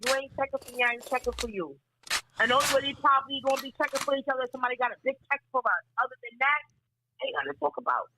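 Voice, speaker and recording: A soft-spoken woman, a battle rapper giving an interview, talking bluntly with lots of swearing and boasting. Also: high-pitched, rapid-fire, very noisy. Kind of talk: candid interview talk.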